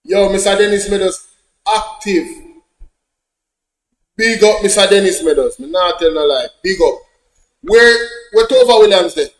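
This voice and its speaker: A Jamaican man delivers reaction commentary in an animated, preachy way, his voice sitting fairly high. The room around him rings with a noticeable echo. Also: unhurried, pauses often. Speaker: online commentator.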